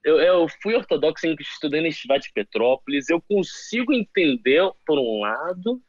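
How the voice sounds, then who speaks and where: A Brazilian man speaks in a fairly high voice, thinking aloud with many restarts and hesitant pauses. The sound is dry and a little muffled, like lo-fi remote audio. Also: very animated, dry recording. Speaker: guest, former soldier. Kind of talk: casual conversational interview.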